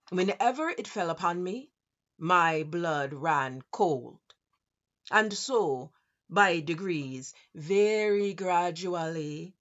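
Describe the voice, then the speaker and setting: A woman voicing a nervous, obsessive mad narrator in a Grenadian accent, in a deliberate, theatrical reading of a gothic horror story. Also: fairly low pitch, fairly bright tone, muffled recording. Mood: intense confession. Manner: deliberate, theatrical, halting phrases. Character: nervous madman narrator insisting on sanity, obsessive and cunning.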